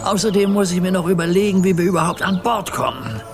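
A good-natured old grandfather plays at being a ship's captain in a children's radio play. He sounds calm and cautious and teases gently. His slightly high voice moves around a lot. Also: quick pace, over music. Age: older.

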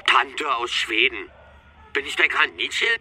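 A man's voice: medium pitch, measured pace, sharp tone, husky, full character voice. A man acting in a radio play as a blunt, casual friend, a sceptical furniture mover who helps out. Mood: sceptical, exasperated.

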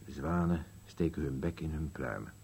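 An actor playing a gloomy, contrary elderly husband in a radio play, speaking in terse, musing contradictions. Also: male voice, extremely low pitch, moderate pace, gravelly, a little mellow, breathy edge, exaggerated voice. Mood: pedantic, irritated. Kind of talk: Dutch-language radio play acting.

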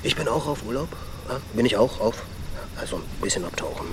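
A jittery small-time crook acted by a man, shifty and eager, stammers and loops back over his phrases in a medium-pitched voice. It is radio-drama dialogue with clear cricket-filled night ambience. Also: lively intonation, background noise, lightly acted. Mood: nervous probing. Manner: stammering, repeats phrases, halting and nervous.

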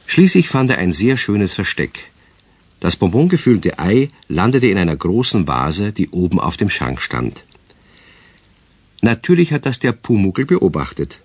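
A man's voice: lowish voice, sing-song, measured pace, husky, dry recording, exaggerated voice. A man narrating a children's radio play in scripted storybook style, with a southern German accent.

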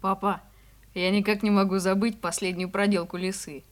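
A woman's voice: mid-range voice, flat delivery, moderate pace, close to an everyday voice. Eager, curious little boy played by an actress. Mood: curious, amused. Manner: eager, questioning. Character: curious, cheerful young boy, forester's son. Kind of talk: 1970s Soviet audio play acting.

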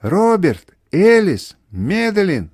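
Мужчина изображает хриплую старую мать в панике. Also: male voice, sits high, lively intonation, a little fast, darkish tone, no room sound, studio quality, voice pushed up. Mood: frantic calling. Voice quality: hoarse. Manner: wailing, repetitive calls of alarm. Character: panicked bedridden old mother crying for help.

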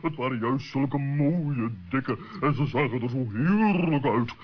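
A man's voice: medium pitch, animated, unhurried. A man playing a pompous, greedy, easily offended owl who talks in wordy, hemming phrases in a children's radio play. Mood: plaintive. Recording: dull old radio play recording.

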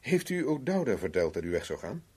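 An actor with a Netherlands Dutch accent playing a shrewd, self-assured private investigator in a 1970s radio play, measured, persuasive and knowing. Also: male voice, medium pitch, sing-song, darkish tone, slightly rough. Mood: firm, advising.

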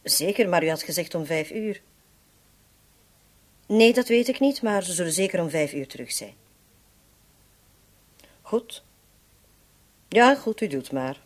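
A woman's voice: on the low side, animated, a little slow, pauses often, no echo. An actress playing a frank, protective housekeeper in a radio drama, talking bluntly and candidly to her master. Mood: businesslike.

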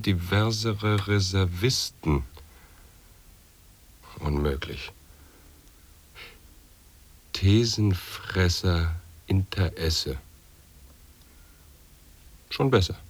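A man acting a brooding speaker of absurd word puzzles in an avant-garde radio play, with deliberate, weighty delivery. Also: low voice, full character voice. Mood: muttering, pondering. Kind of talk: avant-garde radio play monologue of sound poetry and wordplay.

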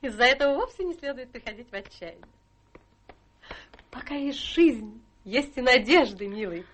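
A high, swooping voice carries this young society wife. She is coquettish, scheming and utterly sure of herself, in full theatrical radio-play style. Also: expressive, normal speed, a bit bright. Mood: breezy, reassuring. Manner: coquettish, breezily self-assured.

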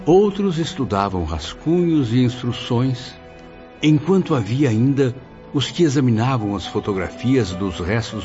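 An actor playing an awed spirit who narrates his memories earnestly in a radio drama. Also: lowish voice. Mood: observant, impressed. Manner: expressive, earnest, wondering. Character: awed male spirit narrator recalling wonders to a listener. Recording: dull lo-fi audio under music.

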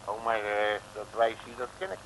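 Noisy old radio comedy: muttering detective, high creaky voice, nervous and blustering. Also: male voice, expressive, normal speed. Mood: nervous grumbling. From Netherlands. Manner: muttering, stammering, blustering, colloquial. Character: grumbling, nervous, street-wise middle-aged Rotterdam detective. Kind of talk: comic radio serial acting, around 1960.

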